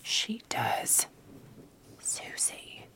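An actress playing a blunt, superstitious folk healer with a Southern US accent in an old-time radio style drama. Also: female voice, very slow, sharp tone, in a whisper. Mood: hushed warning. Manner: blunt, bossy, folksy.

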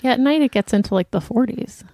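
A woman's voice: a little high, fast, dark tone, no echo, clean studio sound. A woman in her thirties co-hosting a podcast, chatting casually and reading listener emails aloud. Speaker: podcast co-host. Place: Los Angeles.

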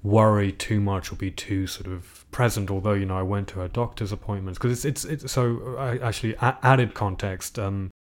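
Deep-voiced man, British accent, halting. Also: fairly even intonation. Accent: British English. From Iceland. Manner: thoughtful, halting, many fillers and restarts. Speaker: guest, composer. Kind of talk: reflective interview conversation.

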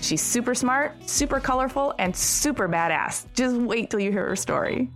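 A woman's voice: a little high, expressive, moderate pace, fairly bright tone, faint music behind. A woman hosting a conversational podcast, talking informally and with enthusiasm. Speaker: podcast host interviewing a friend.

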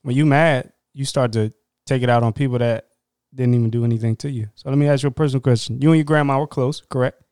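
Smooth-voiced man asking friendly questions. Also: mid-range voice, fairly even intonation, a little mellow, no room sound, clean studio sound. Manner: supportive interviewer, quick asides and filler words. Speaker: podcast co-host. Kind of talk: casual podcast chat.

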